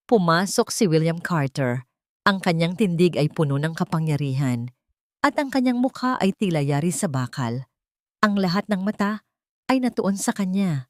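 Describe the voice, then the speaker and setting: A woman's voice: fairly low pitch, dry recording, clean studio sound. A woman narrating a scripted audio drama in a solemn, literary way.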